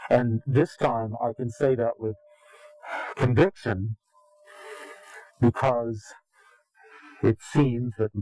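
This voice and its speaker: A man giving an interview in a slow, grave, deliberate way, pausing often between phrases. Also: mid-range voice, fairly even intonation, dark tone, faint music behind, low-quality recording. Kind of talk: ominous recorded interview.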